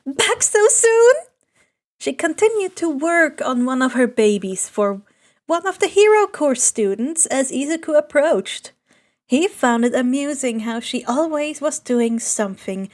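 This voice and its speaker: Woman reading fanfic story out loud, narrator style, really high pitched voice. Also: expressive, normal speed. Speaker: podfic narrator.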